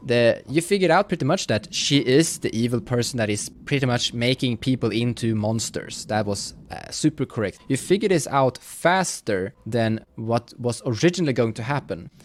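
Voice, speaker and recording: Man hesitantly running a tabletop game. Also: mid-range voice. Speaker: game master. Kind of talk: explaining and wrapping up a live tabletop role-playing game.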